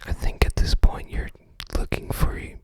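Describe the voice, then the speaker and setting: An ASMR host whispering in a hushed, unhurried way, musing aloud while browsing a book.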